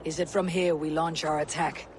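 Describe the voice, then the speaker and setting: A woman playing a proud, vengeful young Viking warrior in a game drama, speaking in solemn, measured, archaic phrases. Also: low voice, flat delivery, darkish tone, slight background noise, lightly acted. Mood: guarded. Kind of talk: cinematic video game drama.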